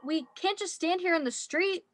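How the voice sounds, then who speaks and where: A woman playing a befuddled, helpless old soul in a radio play, fretful and halting, repeating words. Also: very high-pitched, dry recording. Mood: distraught, helpless. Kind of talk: radio drama acting.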